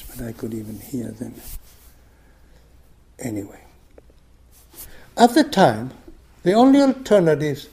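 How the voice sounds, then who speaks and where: A man's voice: medium pitch, warm tone. An older man from Argentina, giving a reflective meditation talk with frequent restarts. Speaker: meditation group teacher. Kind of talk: dharma talk.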